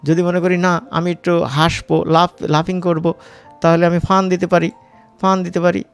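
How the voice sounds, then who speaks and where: A man with a Bangladeshi accent giving a casual, informal software tutorial as an instructor, mixing in English words. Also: high-pitched, flat delivery, moderate pace, smooth, faint music behind.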